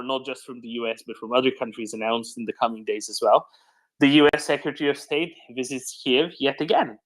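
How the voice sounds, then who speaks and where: A man's voice: mid-range voice, rough recording. A man hosting a live news discussion, explaining developments off the cuff and often checking in with a "right?". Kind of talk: unscripted news commentary and discussion.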